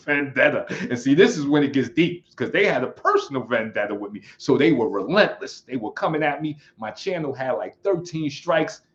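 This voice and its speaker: A man hosting his own livestream in a relaxed, rambling way. He chuckles often and reads chat comments aloud. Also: medium pitch. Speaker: channel host. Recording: slightly dull lo-fi sound.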